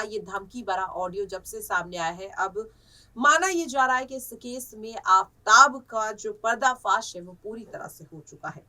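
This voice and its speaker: A woman's voice: mid-range voice, pushed and bright, far from the mic. A woman presenting a semi-scripted news commentary, with emphatic, repetitive phrasing.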